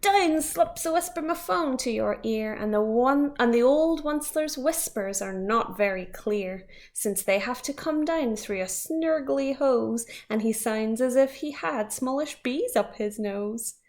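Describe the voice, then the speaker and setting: A woman's voice: a little high, expressive, a little slow, hardly any pauses, a little mellow. A woman, a teacher reading a picture book aloud expressively with small asides.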